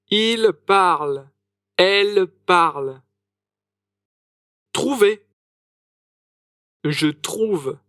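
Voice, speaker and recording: A woman models French verb forms for learners, very slowly and with deliberate care. Her voice is on the low side. The recording is dry and close. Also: fairly bright tone, dry recording. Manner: careful, deliberate repetition for learners. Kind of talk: slow modeled French phrases.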